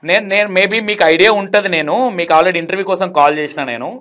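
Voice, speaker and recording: A man's voice: sits high, quick pace, heavy background noise. A man hosting a casual phone interview, asking probing questions in a teasing, prodding manner. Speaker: interview host.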